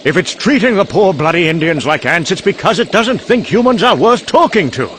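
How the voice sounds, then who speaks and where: Casting: male actor as vain, unhinged scientist, rambling and excitable, very lively pitch swings, motion-comic drama, noisy background. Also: a little fast. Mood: manic, urgent. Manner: rambling, excitable, self-congratulating. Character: mad, vain, rambling British male scientist narrating doom.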